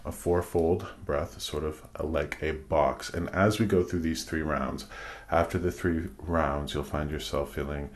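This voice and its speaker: A man leading a guided meditation, giving soothing instructions in measured, deliberate phrases with pauses. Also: a bass voice, fairly even intonation.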